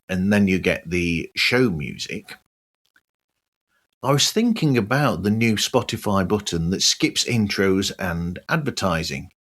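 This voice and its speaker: A man hosting a podcast, reading a scripted news roundup in a measured, deliberate way. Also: fairly low pitch, a bit bright. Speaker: podcast host.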